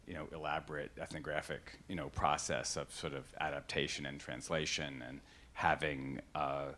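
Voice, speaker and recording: Deep-voiced man moderating a panel. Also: little pitch movement, sharp tone, slight background noise. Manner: halting, thinking aloud. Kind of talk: panel discussion at grand rounds.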